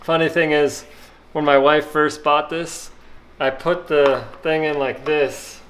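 Middle-aged man, slightly high voice, relaxed home cooking vlog, kitchen clatter behind. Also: takes it slowly, a little hiss or hum, poor audio. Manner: relaxed, talks through each step while working. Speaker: home cook vlogger. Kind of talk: casual cooking demo.

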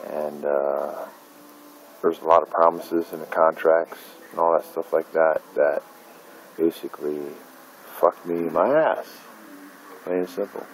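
Middle-aged man, very low voice, bitter profane rant to his phone camera, faint hiss. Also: fairly even intonation, unhurried, rough recording. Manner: bitter, profane, repeating himself with many fillers. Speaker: independent filmmaker. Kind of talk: angry personal vlog rant. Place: dim room at night, indoors. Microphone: phone camera held close.

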